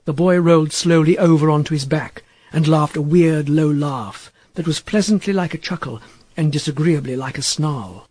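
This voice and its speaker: A man reading literary fiction as an audiobook narrator, in a wry, expressive storytelling manner. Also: fairly high pitch, normal speed, dry recording. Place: dry studio.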